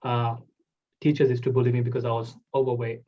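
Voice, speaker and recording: A man's voice: medium pitch, little pitch movement, fast talker, dull audio. A man, hosting a casual interview and talking loosely with many "like" fillers. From Bangladesh. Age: 20s. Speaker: host.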